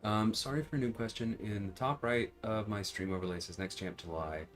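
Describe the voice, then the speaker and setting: A man's voice: lowish voice, a little fast, soft background music. A man hosting a casual livestream, explaining game mechanics and answering viewers while he plays. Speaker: livestream host and game designer. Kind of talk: casual livestream chat and gameplay commentary.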